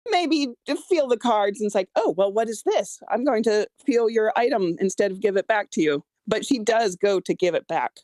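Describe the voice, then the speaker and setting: A woman's voice: medium pitch, lively intonation, moderate pace, few pauses, darkish tone, dry recording. A woman talking casually and hesitantly about her own script, often stammering as she explains her choices. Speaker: screenwriter discussing own script. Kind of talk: casual feedback discussion.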